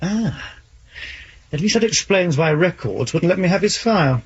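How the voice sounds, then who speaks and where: Junior detective: eager sidekick, very husky, high and lively. Seventies radio play, muffled sound. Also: male voice, moderate pace, exaggerated voice. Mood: puzzled. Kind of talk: 1970s radio drama acting. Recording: dull old radio recording.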